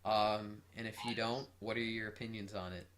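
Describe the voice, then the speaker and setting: A man co-hosting a casual podcast, talking in an energetic, jokey and self-deprecating way. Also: lowish voice, normal speed. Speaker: podcast co-host. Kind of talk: casual chat.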